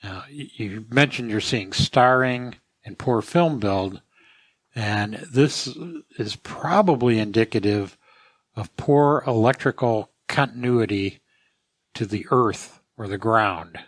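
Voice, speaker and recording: A man with a fairly low voice hosts as the resident expert. He gives technical advice in a conversational way, and the recording is very dry, with no audible room. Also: slow pace, no room sound. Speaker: podcast host and expert answering questions.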